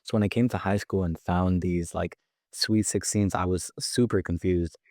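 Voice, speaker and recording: A teenage boy with a very low voice co-hosts a school podcast in a loose, conversational way. He talks casually and leans on easy fillers. The recording is close and dry. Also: normal speed, dry recording. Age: teens. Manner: relaxed, with frequent fillers. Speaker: student podcast co-host.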